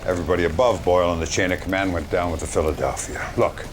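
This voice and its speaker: An actor playing a gruff, commanding general in a video game cutscene, blunt and authoritative in clipped orders. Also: male voice, deep voice, fairly even intonation, fast talker, lightly acted. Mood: measured, resolute. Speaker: military commander giving briefings.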